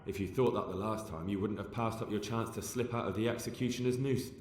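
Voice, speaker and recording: A man's voice: lowish voice, fast talker, darkish tone, long reverb tail, slight background noise, natural voice. A man playing a young medieval man-at-arms in a video game, dutiful, dryly confident and plain-spoken.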